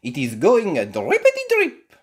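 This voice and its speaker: A woman voicing a female schoolteacher gazelle, high and exclaiming. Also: wildly swooping pitch, moderate pace.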